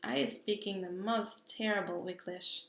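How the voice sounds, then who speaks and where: A woman voicing a very old, kindly male giant who talks slowly and loudly with jumbled grammar, in an echoey room. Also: fairly even intonation, creaky. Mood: wistful. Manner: slow booming delivery with jumbled grammar.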